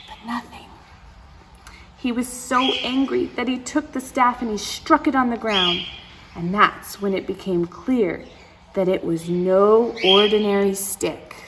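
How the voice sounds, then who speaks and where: A woman reading a storybook aloud to young children as a story time host, expressively, with exclamations and asides to her listeners. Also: fairly high pitch. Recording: clear background noise.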